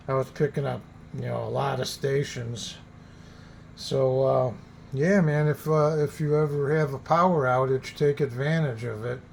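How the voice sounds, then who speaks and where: A man with a creaky, gravelly voice talks casually as a video host. He is informal and folksy, addressing viewers directly, with faint noise behind him. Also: mid-range voice, a little mellow. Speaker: host of a radio hobby video channel. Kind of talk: casual vlog demonstration chat.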